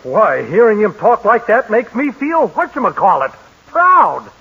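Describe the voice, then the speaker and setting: An actor playing a folksy, contented older small-town mayor in a 1940s radio play, fumbling for words. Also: male voice, high-pitched, huge pitch swings, unhurried, vocal fry, slightly rough, heavily acted. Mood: proud. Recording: muffled 1940s radio broadcast.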